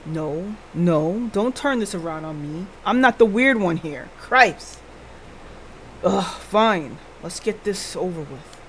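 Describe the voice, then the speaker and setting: Lady doing a grumpy tired police boss for a game, low and deadpan, totally skeptical, slight noise behind. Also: slow pace, poor audio. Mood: grumbling. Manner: curt, grumbling, deadpan.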